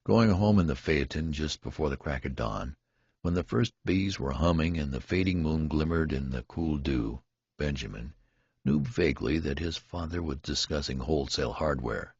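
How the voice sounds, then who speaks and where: A man's voice: rumbling low, moderate pace, darkish tone, husky, no echo, lo-fi sound. A man reading a literary story aloud as scripted audiobook narration. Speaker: audiobook reader.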